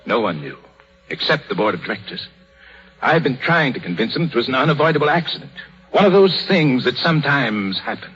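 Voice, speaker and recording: An actor playing an aging, anxious surgeon full of regret and self-pity, husky, in a 1930s radio drama. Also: male voice, mid-range voice, big pitch swings, fairly quick, fairly bright tone. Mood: uneasy. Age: older. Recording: dull 1930s radio broadcast with music.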